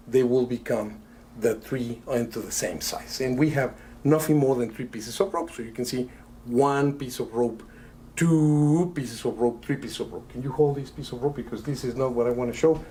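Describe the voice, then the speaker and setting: A middle-aged man from Italy, a magician doing live patter for a rope trick, talking in a chatty, halting way with many fillers. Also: mid-range voice, mellow, slight background noise, rough recording. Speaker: magician guest.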